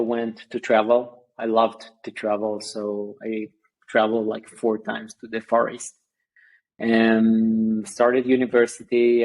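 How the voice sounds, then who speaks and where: A man, a guest chatting on a video call in a halting way with many fillers and restarts. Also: on the low side, darkish tone, rough recording. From Israel. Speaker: guest founder. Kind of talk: casual interview chat.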